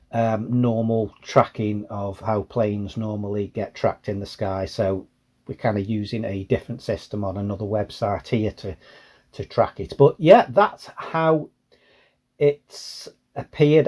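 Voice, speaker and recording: Mellow British man explaining casually. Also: medium pitch. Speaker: radio hobbyist presenter.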